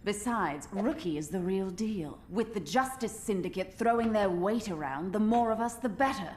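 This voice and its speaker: A woman's voice: medium pitch, expressive, moderate pace, a little mellow, slight background noise, lightly acted. An actress playing a wry, no-nonsense female supervillain with a dry manner in a video game. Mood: dry, exasperated.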